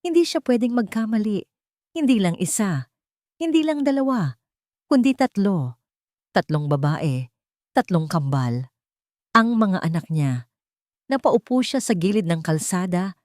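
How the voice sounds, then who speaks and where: A woman's voice: mid-range voice, animated, a little slow, long pauses, a little mellow, dry recording, studio quality. A woman story narrator reading a scripted first-person drama in a dramatic storytelling style.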